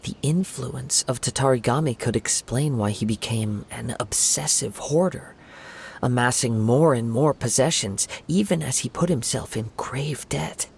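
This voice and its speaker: A man voicing a calm, composed young investigator who speaks in a measured, formal and reassuring way, in a video game. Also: mid-range voice, creaky, slight background noise. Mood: grave.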